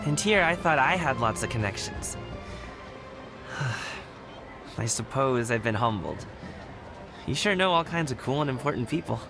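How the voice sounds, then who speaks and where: A man's voice: mid-range voice, lively intonation, measured pace, vocal fry, pauses often, music in the background. An actor playing an earnest, modest young man in a video game, speaking in a casual, sincere way. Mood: surprised, admiring.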